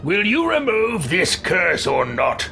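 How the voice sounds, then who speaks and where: A man's voice: sits high, huge pitch swings, slow pace, gravelly. A man streaming a game and reading dialogue aloud in character. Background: game music.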